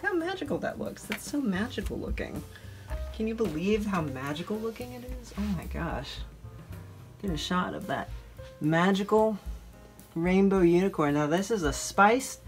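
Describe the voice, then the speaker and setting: A woman in her thirties presents in a chatty, playful manner, with a theatrical streak of enthusiasm. Her voice sits on the low side, and light music plays behind her. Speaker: video host. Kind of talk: chatty demonstration and travel narration.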